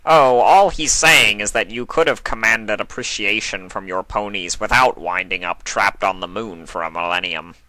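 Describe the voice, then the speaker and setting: A man's voice: fairly low pitch, normal speed, hardly any pauses, pushed and bright, dry recording, poor audio. A man voicing a sarcastic, flippant god of chaos in a mocking, theatrical way for a story reading. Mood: teasing.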